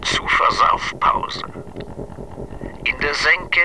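A man's voice: a little high, wildly swooping pitch, extremely slow, vocal fry, background noise. A man reciting in a stylised, declamatory radio-play manner.

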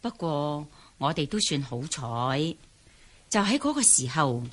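Husky, lowish-voiced village mother. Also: sing-song, a little slow, heavily acted. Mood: relieved, grateful. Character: deferential, talkative village tea-stall mother. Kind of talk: Cantonese radio drama acting.

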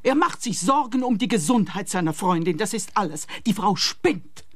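A woman's voice: medium pitch, lively intonation, normal speed, no room sound, lightly acted. An actress playing a dismissive, scoffing mother of a grown son in a comic radio play, emphatic and indignant.

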